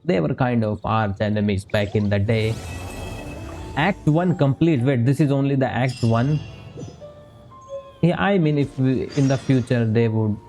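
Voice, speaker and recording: A man's voice: medium pitch, measured pace, pauses often, darkish tone. A man streaming a video game, chatting casually, thinking aloud and laughing often. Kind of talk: casual gameplay commentary. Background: game music.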